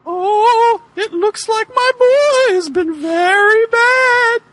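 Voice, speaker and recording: A man voicing a whiny, remorseful old father in a shrill, melodramatic falsetto for a mock-dramatic fan fiction reading. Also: a bit bright, full character voice. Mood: fretful. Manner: slow, drawn-out, melodramatic.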